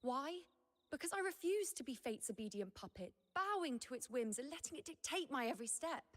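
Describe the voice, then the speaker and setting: An actress playing a proud, burdened young woman seer in a video game, speaking formal scripted lines in a grave, measured way. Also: sits high, sing-song, a bit bright, in a large hall. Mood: defiant.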